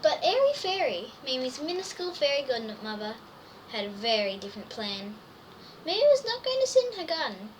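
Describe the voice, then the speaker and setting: A woman reading a children's storybook aloud expressively, full of wonder and exclamation, addressing young listeners. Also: high-pitched. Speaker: storybook reader. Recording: distant mic, faint background noise.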